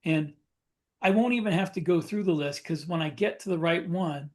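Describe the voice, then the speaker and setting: A man's voice: a little high, normal speed, a little mellow. A man talking casually as a podcast host, informal and given to repeating points for emphasis.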